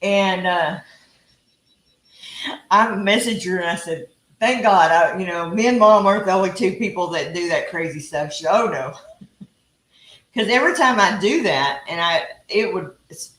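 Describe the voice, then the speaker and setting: A woman's voice: fairly low pitch, animated, measured pace, pauses often, a bit bright. Texan woman, home cooking host, teasing, roomy kitchen sound. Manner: chatty, teasing, laughs often. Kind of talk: casual live cooking chat.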